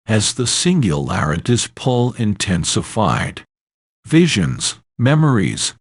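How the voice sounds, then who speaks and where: Deep, dramatic male horror narrator. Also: slightly rough, no room sound, studio quality. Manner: dramatic, ominous storytelling delivery. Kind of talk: scripted horror story narration.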